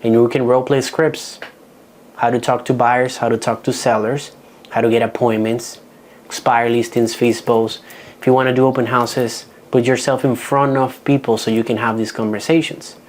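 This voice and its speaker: A man's voice: medium pitch, little pitch movement, moderate pace, a little hiss or hum. A man with a Dominican Spanish accent, Spanish his first language, giving a seminar on real estate deals in a frank, practical way with frequent restarts. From Dominican Republic. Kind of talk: seminar talk.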